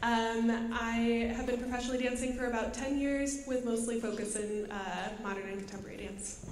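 A woman's voice: a little high, fairly even intonation, normal speed. A woman from the American Midwest, a professional dancer, introducing herself on a panel. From Madison, USA. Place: large concert hall stage. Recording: echoey concert hall, faint noise.

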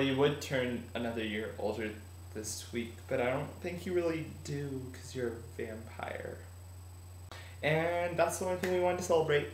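A young man in his late teens vlogging casually from a room at home on a webcam, energetic and animated. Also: on the high side. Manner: energetic, animated, laughs often. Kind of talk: casual fan vlog. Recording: roomy room echo, faint hiss.

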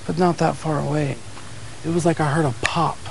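Gravelly man hedging in hushed tones. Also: on the high side, steady tone, brisk, slight background noise, low-quality recording. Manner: hesitant, peppered with "like", hushed. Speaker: paranormal investigator. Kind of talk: casual chat. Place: dark tunnel outdoors at night.

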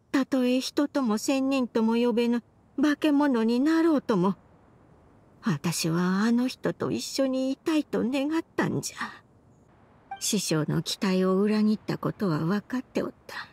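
A woman's voice: on the high side, measured pace, dark tone, no room sound. An actress playing a remorseful old grandmother in a Japanese game, confessing her past in an archaic elderly way of speaking. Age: older. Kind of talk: Japanese game voice acting, dramatic monologue.

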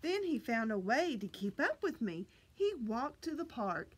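A middle-aged woman reading a picture book aloud to young children, with deliberate, animated delivery and exaggerated enunciation. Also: fairly high pitch, slightly rough. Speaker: children's librarian reading at story time. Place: small library children's room, indoors.

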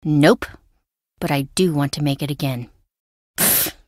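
A woman's voice: deep voice, a little slow, a little mellow, no echo, studio quality. An actress voicing a chatty, impulsive young woman in a video game, breezy, wry and self-dramatizing. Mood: breezy, dramatic. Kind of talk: video game voice acting, conversational.

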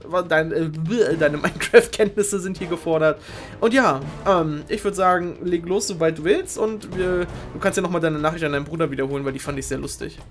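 A young man hosting a game show, giving informal, excitable live commentary on a video game race. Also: fairly high pitch, fairly quick. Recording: music behind the voice.

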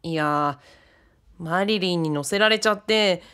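A woman playing a polite, earnest and easily flustered young man in an audio drama, in an eager, expressive way. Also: on the low side, measured pace, smooth. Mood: sheepish. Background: background music.

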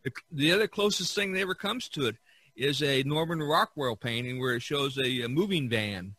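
A man hosting a casual art discussion, halting and repeating words as he searches for them. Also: mid-range voice, expressive, sharp tone, slightly rough, dry recording. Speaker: podcast host.

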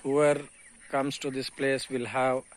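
A man's voice: medium pitch, level tone, a little slow. A middle-aged man with an Indian English accent, giving an earnest, explanatory selfie commentary while walking outdoors around a farm. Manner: earnest, explanatory, preachy asides. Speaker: farm vlogger. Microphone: phone held at arm's length.

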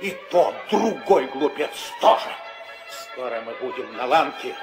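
A demon king and abductor, gloating and full of command. The delivery is theatrical 1960s audio drama, in an old recording with clear music behind. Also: medium pitch, expressive, a little slow. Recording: old studio recording under loud music.

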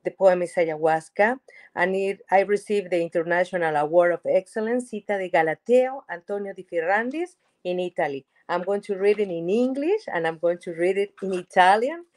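A middle-aged woman, reciting her poetry slowly and expressively over a video call from a room at home. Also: on the low side, a little mellow. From USA. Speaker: poet. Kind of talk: poetry reading. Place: home room with lamp and shelves. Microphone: webcam on video call.

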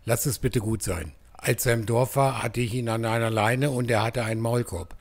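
A stern, protective huntsman of the woods, acted by a man in a fantasy audio play. His mid-pitched voice is gravelly and his manner gruff and admonishing. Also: fairly even intonation, moderate pace. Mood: cautious. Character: stern, protective adult male forest huntsman. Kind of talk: German fantasy audio drama acting.

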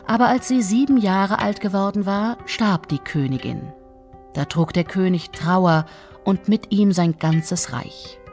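A woman's voice: medium pitch, measured pace, darkish tone, faint music behind. A woman reading scripted fairy-tale narration as the storyteller.

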